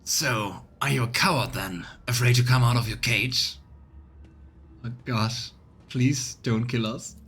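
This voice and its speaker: A man's voice: medium pitch, measured pace, a bit bright. Man streaming a game over noticeable noise. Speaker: let's play streamer. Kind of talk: casual game stream with voiced read-aloud narration.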